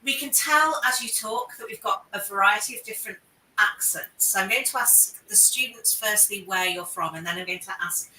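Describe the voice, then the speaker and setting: Bright-voiced woman moderating a panel. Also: mid-range voice, normal speed, slight background noise. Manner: encouraging, chatty, repeats words. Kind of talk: live online panel Q&A. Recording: echoey room, distant mic.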